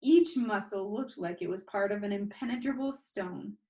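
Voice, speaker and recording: Casting: woman storybook reader, mid-pitched, expressive audiobook narration for children. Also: normal speed, poor audio.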